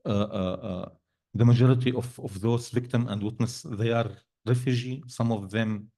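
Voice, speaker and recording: Panel speaker, male, non-native English, halting and searching for words, dark mellow tone. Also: medium pitch, fairly even intonation, creaky. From Syria. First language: Arabic. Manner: halting, many restarts and fillers, non-native grammar. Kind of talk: panel discussion answer. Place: event hall.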